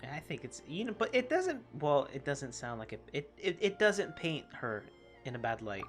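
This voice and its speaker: A game streamer doing casual, expressive commentary and reading on-screen text aloud in an informal way. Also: medium pitch, moderate pace. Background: game music.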